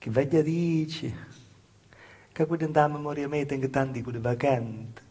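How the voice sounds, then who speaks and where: A man performing a theatrical dialect monologue as a Calabrian peasant woman recalling her hard past, in a halting, plaintive storytelling manner with a Calabrian accent. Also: mid-range voice, fairly even intonation, mellow, slight background noise, lightly acted. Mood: wistful, hesitant.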